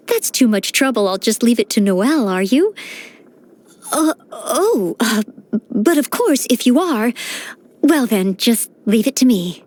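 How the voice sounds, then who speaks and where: A woman's voice: high voice, darkish tone. A woman voicing an earnest, eager-to-please teenage maid knight in a video game, speaking politely and formally. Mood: proud, caring, then flustered. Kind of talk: video game character voice lines. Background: background music.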